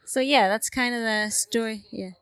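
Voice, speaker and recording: A woman's voice: fairly high pitch, moderate pace, fairly bright tone, smooth, no room sound. Icelandic lady guest, jokey, laughs a lot, dry close mic. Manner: joking, laughs often. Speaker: guest researcher. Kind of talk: casual interview. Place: remote studio.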